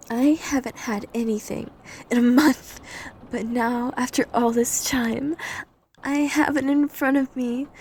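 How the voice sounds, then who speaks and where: A woman's voice: a little high, vocal fry, darkish tone, a little hiss or hum. A woman voicing an obsessive, possessive, hungry vampire in an ASMR roleplay, speaking intimately and often dropping toward a whisper. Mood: excited, hungry. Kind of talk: ASMR roleplay audio drama.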